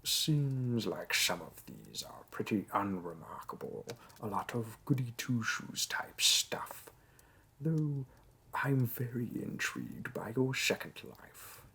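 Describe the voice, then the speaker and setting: A gravelly, unhurried demon clerk of hell played by a man, courteous and officious with a dry wit. He speaks formally in a roleplay audio, with breath in the voice and faint noise behind him. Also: mid-range voice, sing-song, sharp tone, full character voice. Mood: intrigued, approving. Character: wry, courteous bureaucratic male demon interviewer in hell. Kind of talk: scripted roleplay audio monologue addressed to the listener.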